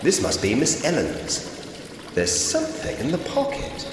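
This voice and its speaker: A man's voice: on the high side, wildly swooping pitch, a little slow, vocal fry, noisy background, full character voice. An actor playing a curious, polite man in a ghost-story adventure game, musing wryly to himself in short scripted remarks. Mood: matter-of-fact. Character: curious, polite man investigating a haunted Victorian house. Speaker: video game protagonist narrating his actions. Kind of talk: scripted point-and-click adventure game voice acting. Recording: long echoing reverb with music behind. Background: music.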